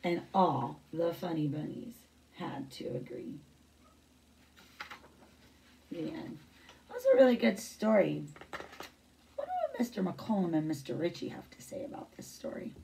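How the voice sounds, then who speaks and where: Middle-aged female teacher for storybook read-aloud, low creaky voice, picked up from a little way off. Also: wildly swooping pitch, normal speed, recorded from a distance, background noise. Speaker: teacher reading a picture book aloud.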